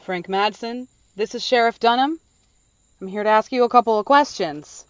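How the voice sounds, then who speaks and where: A woman's voice: fairly high pitch, normal speed, clean tone, no room sound, lightly acted, low-quality recording. A woman playing a firm, businesslike small-town sheriff in an amateur radio mystery.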